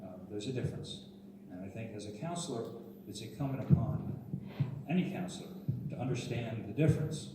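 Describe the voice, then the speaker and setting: Measured man in a reverberant hall. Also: on the low side, expressive. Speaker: city councilor and candidate. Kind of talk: candidate forum answer. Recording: echoey hall from far off, noisy.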